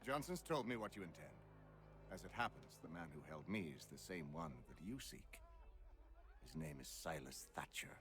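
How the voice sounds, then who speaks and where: An actor playing a proud, sharp-tongued captive fixer, indignant and emphatic in a dramatic game cutscene. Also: male voice, low voice, animated, normal speed, faint music behind. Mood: urgent, explaining.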